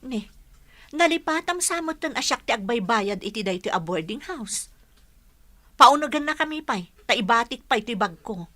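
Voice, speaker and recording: An actress with a Filipino accent playing a hardworking, self-sacrificing older sister in an Ilocano radio drama. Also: female voice, a little high, lively intonation, normal speed, bright, forceful tone. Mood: matter-of-fact.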